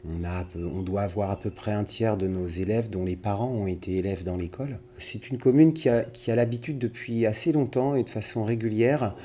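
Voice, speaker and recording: A middle-aged man speaks as a kindergarten principal in an interview. His voice sits low and sounds dark and relaxed. He talks in a measured way and now and then restarts a phrase. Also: slight background noise. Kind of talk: interview statement.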